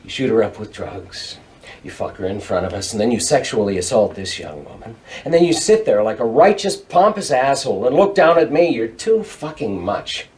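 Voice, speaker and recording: A man's voice: medium pitch, very animated, normal speed, darkish tone, close to an everyday voice. An actor playing an angry, foul-mouthed, defensive man who argues in exasperation, in a naturalistic drama. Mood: contemptuous, irritated. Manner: swearing, combative, exasperated. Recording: distant microphone.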